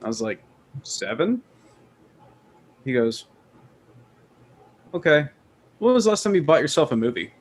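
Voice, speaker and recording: A man's voice: fairly high pitch, sing-song, unhurried, long pauses. A man co-hosting a casual chat, laid-back, saying "dude" and laughing as he tells family stories. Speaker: podcast co-host.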